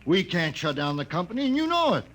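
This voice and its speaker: An actor playing an excitable middle-aged company boss in a 1950s radio drama, blustering emphatically. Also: male voice, sits high, fast talker. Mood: dismissive, blustering. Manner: emphatic, dismissive barking.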